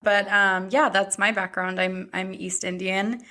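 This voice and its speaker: A woman in her thirties hosting a podcast, chatting in a reflective, halting way. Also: mid-range voice, little pitch movement, pushed and bright. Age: 30s. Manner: reflective, speaks in short halting phrases. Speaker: podcast host. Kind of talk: casual chat.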